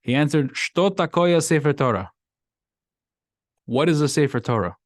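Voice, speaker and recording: A man's voice: on the high side, moderate pace, dry recording. A man from Brooklyn, a rabbi giving a casual weekly Torah class in an emphatic, repetitive style. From Brooklyn, USA. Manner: animated, repeats phrases, emphatic rising lines. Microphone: microphone in class.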